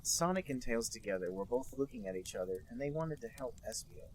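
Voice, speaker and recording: A man co-hosting a podcast, reading a bad fan story aloud in a deadpan, mock-serious way. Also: medium pitch, brisk, slight background noise, rough recording. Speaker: podcast co-host reading a fanfic. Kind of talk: comic dramatic reading.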